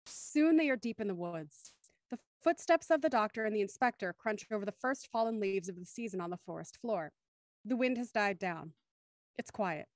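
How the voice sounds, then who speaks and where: A woman's voice: medium pitch, animated, normal speed, no room sound. A woman narrating a radio play and hosting its livestream over a video call. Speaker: narrator and host. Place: indoors on a group video call.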